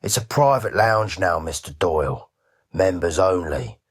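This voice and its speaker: Bloke doing a shady club manager, rough husky low voice, slangy, cheeky, radio drama. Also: male voice, animated, measured pace, no echo, clean studio sound, full character voice. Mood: defiant. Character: shady, defensive male nightclub manager, slightly seedy. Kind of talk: radio crime drama acting.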